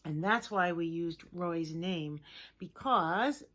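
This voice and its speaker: A woman teaching young children in a lesson to camera, speaking simply and encouragingly with deliberate, emphatic phrasing. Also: on the low side, a bit bright.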